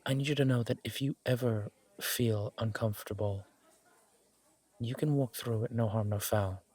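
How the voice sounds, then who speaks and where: A man playing a charming, smitten mafia boss on a date in an intimate, conversational audio roleplay, teasing and earnest with a husky voice. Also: medium pitch, normal speed, darkish tone, no room sound, lightly acted. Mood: nervous, earnest.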